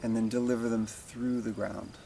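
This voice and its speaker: A man leading a guided meditation as a teacher, speaking in slow, deliberate phrases with long pauses. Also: on the low side, flat delivery, gravelly, music in the background.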